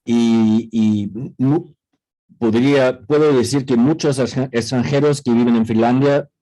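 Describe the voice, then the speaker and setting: A man talks over a video call, stopping and restarting often as he gathers his thoughts. His voice is mellow and relaxed, with a middling pitch. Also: a little slow, low-quality recording. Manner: hesitant, many restarts and fillers. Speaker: co-author speaking at a book presentation. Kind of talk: casual panel talk.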